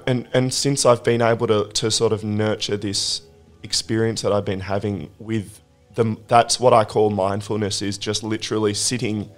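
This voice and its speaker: A hoarse man who has lost his voice, hosting a recovery podcast and musing in a halting, reflective way. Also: lowish voice. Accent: British. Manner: halting, with many restarts. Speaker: podcast host. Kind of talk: reflective casual chat.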